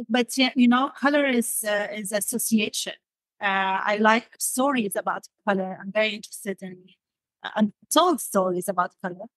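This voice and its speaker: A woman talks in a thoughtful interview style at a middle pitch, hesitating as she searches for words. The recording is dry, with very little room sound. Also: dry recording, rough recording. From Damascus, Syria. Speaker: podcast guest, designer.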